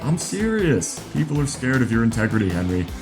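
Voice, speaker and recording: An actor playing an earnest, supportive colleague confiding in someone in a naturalistic audio drama. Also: male voice, mid-range voice, very animated, fairly quick, close to an everyday voice. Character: earnest, supportive male colleague in private chat. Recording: prominent music behind dialogue.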